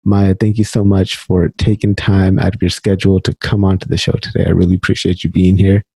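Low husky-voiced male podcast host. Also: level tone, fairly quick, dark tone, dry recording. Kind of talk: podcast interview.

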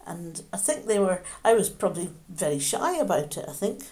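An older woman, gently reminiscing in conversation and often restarting her sentences. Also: fairly low pitch, sing-song, brisk. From Scotland. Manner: gentle, reflective, with frequent restarts. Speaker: interviewee, mother.

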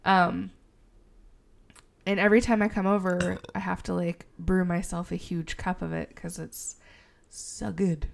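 Woman, podcast co-host, deep fried sinus-infection voice, loose and laughing. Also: flat delivery, unhurried. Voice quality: gravelly. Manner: laughs often, swears casually. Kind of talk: casual chat.

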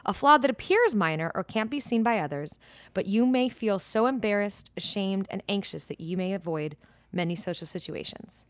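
A woman's voice: medium pitch, lively intonation, moderate pace, dry recording. A woman in her thirties co-hosting a podcast, chatting candidly and reading a personal letter aloud. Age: 30s. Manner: candid, talks in starts and restarts. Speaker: podcast co-host.

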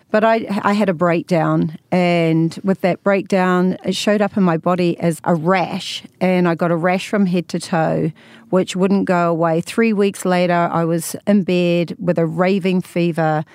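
New Zealand woman, smooth low voice. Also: steady tone, measured pace, a little mellow, no echo. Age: 40s. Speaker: guest. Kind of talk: candid personal story. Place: podcast studio.

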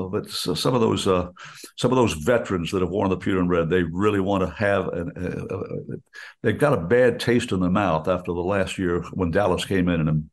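An older man, a radio play-by-play announcer chatting in a folksy, rambling and animated way with lots of fillers. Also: sits low. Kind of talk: casual radio chat.

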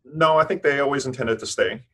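A man's voice: mid-range voice, a little fast, pushed and bright, a little hiss or hum. A man in his fifties from Eastern Tennessee, a scientist guest on an interview, answering thoughtfully with frequent restarts. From United States (Eastern Tennessee). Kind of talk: interview conversation.

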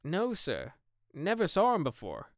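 A man's voice: high-pitched, wildly swooping pitch, unhurried, dry recording, studio quality, voice pushed up, heavily acted. A man voicing a plain-spoken, respectful rustic gamekeeper. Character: deferential rustic gamekeeper, middle-aged man.

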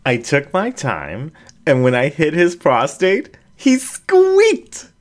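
A man voicing a playful adult female animal character in an audiobook, with an animated, confiding storytelling manner. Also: fairly high pitch, takes it slowly, pitched above the performer's own voice, exaggerated voice. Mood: excited, teasing. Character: playful adult female anthropomorphic animal sharing personal anecdotes.

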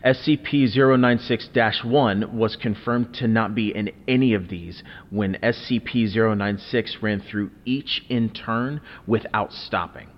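A man's voice: fairly low pitch, unhurried, few pauses, lightly acted. A man playing a formal, clinical researcher reading out a scripted classified incident report in an audio drama. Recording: dull sound with long hall reverb.

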